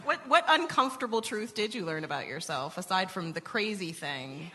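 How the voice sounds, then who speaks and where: A woman's voice: medium pitch, lively intonation, moderate pace, sharp tone, a little hiss or hum. An American woman hosting a conversational radio interview, putting questions to a guest. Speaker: radio show host, interviewer.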